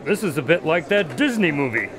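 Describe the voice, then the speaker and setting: A man's voice: on the high side, big pitch swings, brisk, crowd noise. A man playing a skeptical doctor and detective's sidekick in an audio drama, questioning and mildly exasperated. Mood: wry.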